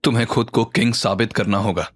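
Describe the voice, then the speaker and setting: A man playing a calm, guarded male mentor in an audio drama, speaking in a measured, earnest way. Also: lowish voice, faint music behind, lightly acted. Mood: calm, firm.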